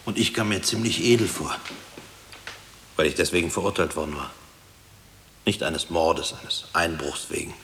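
A deep, grave former prisoner recalls wartime in a measured, reflective manner. It is radio play acting, with light tape hiss over the recording. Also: male voice, fairly even intonation, fairly bright tone. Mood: sombre reflection. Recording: hissy old radio drama tape.

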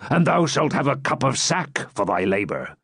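A man voicing a boisterous, blustering friar in an audiobook, with booming, drawn-out archaic speech. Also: big pitch swings, a bit bright, slightly rough, no echo, clean studio sound, heavily acted. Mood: boastful. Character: boisterous, blustering hermit friar, pious fraud and brawler. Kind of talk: audiobook character voice.

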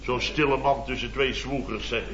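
A man's voice: medium pitch, steady tone, moderate pace, a crowd in the background, lightly acted. A Dutch man acting a blustering, self-important building-firm boss in a radio comedy, rambling indignantly. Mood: indignant storytelling. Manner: indignant, rambling storytelling with tag words. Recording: dull, echoey vintage radio recording with audience.

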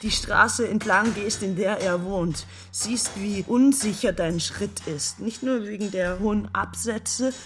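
A woman's voice: mid-range voice, a little slow, hardly any pauses, soft background music, close to an everyday voice. An actress playing a bitter, lovesick woman worn down by endless waiting, in an intense radio drama monologue. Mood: brooding, haunted. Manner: intense, brooding, building from hushed to agitated.

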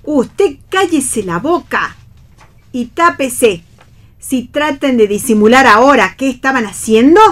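A woman's voice: sits high, lively intonation, slow, full character voice. A woman with an Argentine accent playing a scandalized, flustered mother of a grown daughter in a radio play reading, full of reproach. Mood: indignant. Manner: indignant, reproachful exclamations and questions.

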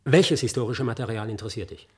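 Actor as husky, guarded official. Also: male voice, medium pitch, fast talker, natural voice. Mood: wary. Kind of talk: science-fiction radio play dialogue.

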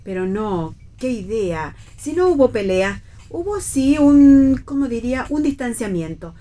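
A woman's voice: on the high side, big pitch swings, a little slow, warm tone. An Argentine woman playing an anxious, conciliatory middle-aged mother who rambles politely in a theatrical radio play reading. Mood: rambling, earnest.

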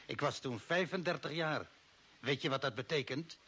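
A man's voice: on the high side, normal speed, fairly bright tone, breathy edge. An actor playing a cold, authoritarian old man from Earth who serves the Martians in an older Dutch radio play, commanding and scornful. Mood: cold, firm. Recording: hissy, noisy old radio recording.

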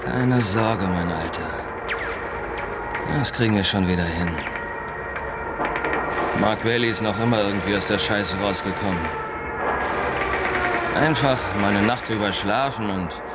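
A man's voice: fairly low pitch, unhurried, pauses often, natural voice. An actor playing a shifty man in trouble, muttering reassurance to himself in a German radio drama. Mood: self-reassuring. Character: self-soothing, shifty male schemer in trouble. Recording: loud vehicle-like background noise.

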